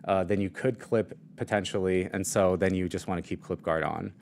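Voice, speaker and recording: Low-voiced man demoing mic gear. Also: steady tone, brisk, creaky, darkish tone, slight background noise. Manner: casual, explanatory, many fillers. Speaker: product demo presenter. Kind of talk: live product demo and Q&A. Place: at a desk. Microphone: studio mic on shock mount and arm.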